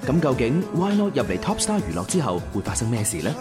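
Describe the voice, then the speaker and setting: An actor as the playful, theatrical storyteller of a romantic comedy radio serial. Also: male voice, mid-range voice, very animated, fast talker, natural voice. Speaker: narrator. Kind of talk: dramatic scripted radio drama narration. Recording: music behind. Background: music.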